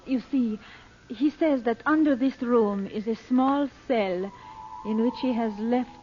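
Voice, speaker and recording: An actress playing a solemn, fearful Egyptian woman, speaking slowly and gravely in old-time radio drama style. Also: a little high. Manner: slow, measured, grave delivery. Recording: muffled, hissy old radio recording.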